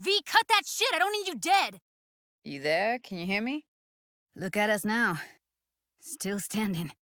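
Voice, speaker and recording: An actress playing a tough, sardonic but warm-hearted young woman in a video game, talking in casual, clipped slang. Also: mid-range voice, big pitch swings, a little slow, no room sound, studio quality. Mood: urgent, shouting, then casual, wry. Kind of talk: naturalistic video game voice acting.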